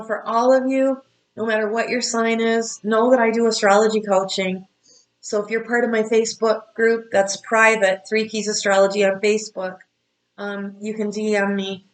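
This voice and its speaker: A woman in her fifties, an astrology coach, giving blunt, scolding, motherly advice straight to her viewers. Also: on the high side. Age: 50s. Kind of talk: direct-to-camera advice talk.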